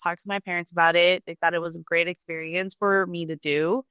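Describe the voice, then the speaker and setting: Earnest young woman, smooth low voice. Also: flat delivery, a little fast, a bit bright, dry recording. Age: young adult. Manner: earnest, open, many "like" fillers. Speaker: community college student. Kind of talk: casual personal story.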